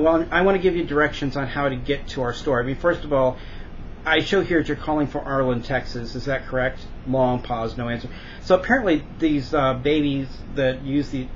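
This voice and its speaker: Man in a dull, noisy lo-fi recording. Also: medium pitch. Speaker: vlogger recounting a prank call. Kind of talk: casual storytelling monologue. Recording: dull lo-fi audio with noticeable noise.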